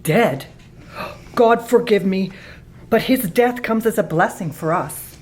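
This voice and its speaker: An actress in a community radio play, playing an anxious young wife. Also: female voice, mid-range voice, slow pace, darkish tone, natural voice. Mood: hushed relief.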